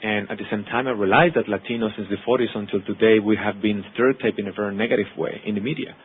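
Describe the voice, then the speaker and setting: A man's voice: lowish voice, fairly even intonation, fast, breathy edge. A man from Mexico in his thirties, Spanish native, with a Mexican accent, giving an earnest personal testimony to an audience. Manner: earnest, lists words in long runs. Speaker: actor and producer speaking to an audience. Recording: tinny phone-like sound.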